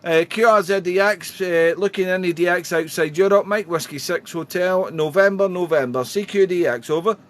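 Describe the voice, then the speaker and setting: A man's voice: sits high, normal speed, hardly any pauses. A man working as an amateur radio operator, chatting in a friendly way and calling out phonetic call signs. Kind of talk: radio contact calls and casual chat. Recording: clear background radio noise.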